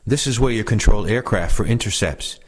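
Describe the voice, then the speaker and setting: Somewhat low-voiced man reading recollections. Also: expressive, brisk. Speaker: reader of veterans' recollections. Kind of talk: reading oral history aloud, scripted narration.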